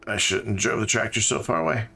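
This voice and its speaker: A man's voice: lowish voice, quick pace, sharp tone. A tired man with a slightly scratchy throat, streaming a game and thinking aloud in casual chat with many fillers.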